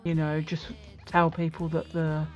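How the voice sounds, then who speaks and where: A woman's voice: low-pitched, monotone, unhurried, darkish tone. A woman chatting casually as a player in a tabletop role-playing game. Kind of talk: casual chat. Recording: muffled lo-fi audio with music behind. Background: background music.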